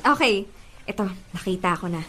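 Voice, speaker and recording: A woman's voice: medium pitch, lively intonation, fairly quick, sharp tone, a little hiss or hum. An actress playing a devoted, coaxing young girlfriend in a Filipino radio drama, with a Filipino accent. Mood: playful, teasing. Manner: emotive, coaxing, teasing. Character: pleading, playful young girlfriend, devoted and sweet.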